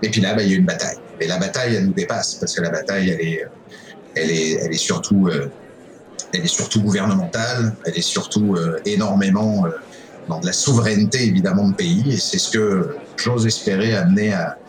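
Low-voiced middle-aged man from France. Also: crowd noise, poor audio. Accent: French from France. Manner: halting, digressive, frequent self-corrections. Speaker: conference speaker, cybersecurity specialist. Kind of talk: informal interview answers.

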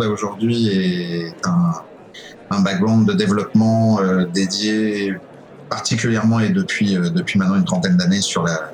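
A middle-aged man with a French accent from France, answering interview questions informally in a halting, digressive way with frequent self-corrections. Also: fairly low pitch, low-quality recording. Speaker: conference speaker, cybersecurity specialist.

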